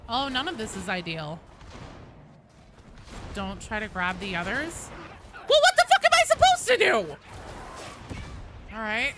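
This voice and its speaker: A woman's voice: fairly high pitch, huge pitch swings, a little slow, pauses often, background noise. A woman doing casual live game commentary as a streamer, chatty, exclamatory and freely swearing. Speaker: game livestreamer.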